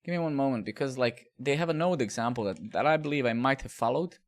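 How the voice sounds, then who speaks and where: A man's voice: medium pitch, fast. A middle-aged man from Serbia, rambling and swearing casually while coding live and chatting with viewers. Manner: rambling, profane, talks to chat while coding.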